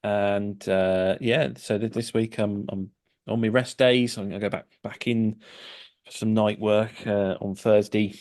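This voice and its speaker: A man's voice: fairly low pitch, fairly even intonation, unhurried, darkish tone. A middle-aged man hosting a casual podcast, chatty and hesitant, with many fillers and restarts. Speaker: podcast host.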